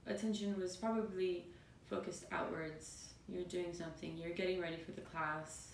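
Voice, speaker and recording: Woman meditation guide, soothing and spacious phrasing; roomy, echoing recording with faint background rumble. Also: fairly even intonation. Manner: soothing, unhurried phrases with pauses. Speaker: yoga and meditation teacher. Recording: roomy, distant mic, faint noisy rumble.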